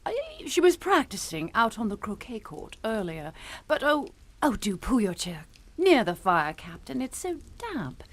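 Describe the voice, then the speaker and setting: A woman's voice: mid-range voice, sing-song, a little slow. An actress playing an anxious, polite English mother and hostess in a radio suspense drama, genteel and fussing. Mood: anxious politeness. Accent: British.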